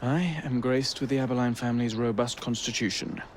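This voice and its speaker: An actor playing an earnest, fussy Victorian police sergeant in a game, formal and slightly stuffy, with an English accent. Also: male voice, mid-range voice, brisk, close to an everyday voice. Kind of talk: video game cutscene acting. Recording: faint ambient noise.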